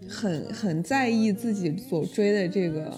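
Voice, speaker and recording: A woman's voice: medium pitch, unhurried, mellow, faint music behind. A woman co-hosting and asking questions in a casual interview chat. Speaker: podcast interviewer, co-host.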